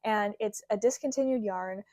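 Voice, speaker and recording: A woman's voice: medium pitch, normal speed, a little mellow, no room sound, clean studio sound. A young woman vlogging about her craft in a casual, bubbly, rambling way, her voice a little worn from a recent cold. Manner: bubbly and rambling, heavy use of "like". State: getting over a cold, recovering from a sore throat. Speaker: crochet content creator. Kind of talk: casual chatty vlog. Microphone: small clip-on mic.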